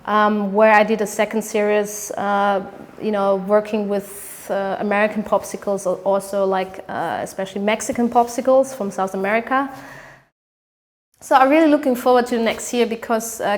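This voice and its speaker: A German woman speaking English with a German accent, describing her photographic work in halting speech with many fillers and restarts. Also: mid-range voice. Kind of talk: artist interview monologue.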